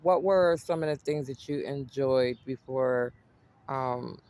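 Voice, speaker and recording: A man's voice: medium pitch, slow, a little mellow. A man interviewing a guest, speaking hesitantly with many fillers. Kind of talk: interview questions.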